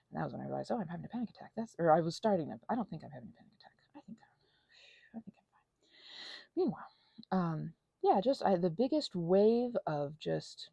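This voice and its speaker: Anxious, whimsical woman with creaky voice. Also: lowish voice, dark tone, no room sound, muffled recording. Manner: theatrical, playful whimsy; halting, tangent-prone. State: anxious, panicky; unwell. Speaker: ASMR channel host. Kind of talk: casual rambling vlog.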